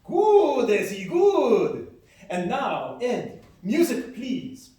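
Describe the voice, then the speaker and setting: In a very echoey hall, a grand Russian ballet master for a radio play. He speaks slowly and theatrically in a high voice, lavish with flattery yet blunt. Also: heavily acted. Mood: instructing. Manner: theatrical, drawn-out exclamations and counting. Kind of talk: live stage radio play acting. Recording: echoey hall, distant mic, music.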